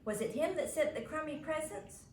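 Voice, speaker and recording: Woman as a pushy, lively young boy. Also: high-pitched, moderate pace, pitched above the performer's own voice. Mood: blunt curiosity. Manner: blunt, pushy. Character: rough, cocky young boys, blunt and curious. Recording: roomy echo, faint background noise.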